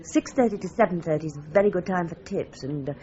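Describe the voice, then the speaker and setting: A woman's voice: fairly low pitch, lively intonation, fast talker, dark tone, lightly acted. A woman playing a helpful, talkative, worldly restaurant manageress in a radio drama. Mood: helpful, confiding. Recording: slightly dull radio drama recording.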